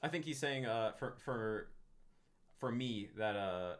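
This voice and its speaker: A man chatting casually on a live stream about language learning, talking in quick bursts and often restarting his sentences. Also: medium pitch, lively intonation. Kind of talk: casual livestream chat. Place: room in Tokyo, live stream.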